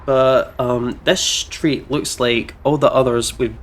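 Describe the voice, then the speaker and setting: Male gaming host, medium pitch, casual and playful, reading story text aloud; some noise in the recording. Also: fairly even intonation, takes it slowly. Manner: playful, informal. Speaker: let's play host. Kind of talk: casual playthrough chat and read-aloud narration.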